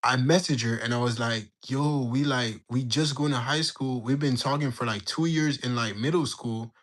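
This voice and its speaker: A young man in his late teens from Jamaica, telling a story in a slangy, animated way while bantering with his livestream chat. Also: medium pitch, normal speed. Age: young adult. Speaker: livestream host. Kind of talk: casual storytelling to live chat.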